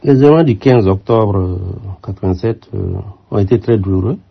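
An older man from Burkina Faso, a former military aide, answering interview questions in a measured, reflective way with frequent hesitations. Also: lowish voice, creaky, breathy edge. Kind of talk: radio interview. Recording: muffled lo-fi sound.

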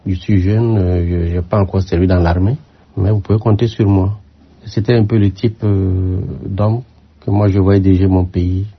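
Low husky older man, measured. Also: fairly even intonation. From Burkina Faso. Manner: measured, reflective, with frequent hesitations. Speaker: former military aide, interview guest. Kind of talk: radio interview. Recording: muffled lo-fi sound.

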